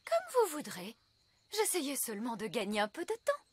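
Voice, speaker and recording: A woman's voice: a little high, huge pitch swings, normal speed, very noisy. A woman voicing a stern, skeptical fairy nanny in a French cartoon dub, with a haughty, dismissive manner. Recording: music behind the voice.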